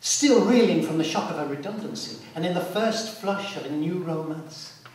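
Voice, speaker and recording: Male narrator for live dramatic storytelling, lightish pitch, distant and very echoey theatre recording. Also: moderate pace. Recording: very echoey hall, distant, loud background noise.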